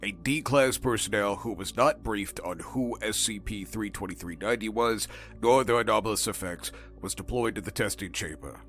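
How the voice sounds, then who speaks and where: A man's voice: lowish voice, moderate pace, a bit bright, faint music behind. A man narrating in the scripted, formal style of a clinical scientific report.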